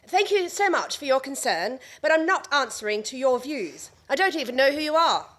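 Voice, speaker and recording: A woman's voice: sits high, big pitch swings, moderate pace, a bit bright. A woman playing an indignant, melodramatic wife in a radio play farce, haughty and theatrical in her delivery. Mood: haughty indignation.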